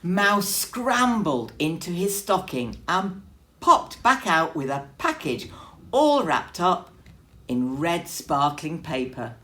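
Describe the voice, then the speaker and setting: A woman's voice: fairly low pitch, fairly bright tone. A woman teacher reading a story expressively to young children.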